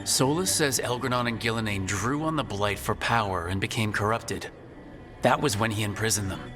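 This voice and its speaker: A man voicing a composed, resolute mage leader in a video game, speaking in a measured, serious way. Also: fairly low pitch, fairly bright tone, natural voice. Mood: grave, insistent. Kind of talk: video game dialogue acting. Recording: long reverb, game music behind.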